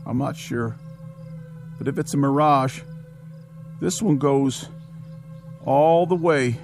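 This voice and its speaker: An actor playing an eager, excitable young army soldier in a radio drama. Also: male voice, mid-range voice, takes it slowly, soft background music. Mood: shaken. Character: eager jittery young male army private.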